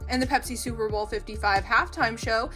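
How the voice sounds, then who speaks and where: A woman's voice: on the high side, a little fast, fairly bright tone, faint music behind. A woman reading scripted entertainment news as a narrator.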